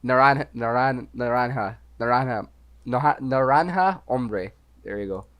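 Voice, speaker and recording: A man's voice: mid-range voice, unhurried, fairly bright tone. A young man co-hosting a podcast, talking casually and rambling, often asking "you know what I mean". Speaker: podcast co-host. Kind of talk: casual chat.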